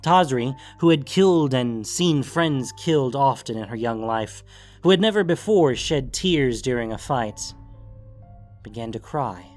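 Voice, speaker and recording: A man reading scripted story narration with dramatic pauses and deliberate phrasing. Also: medium pitch, animated. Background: music.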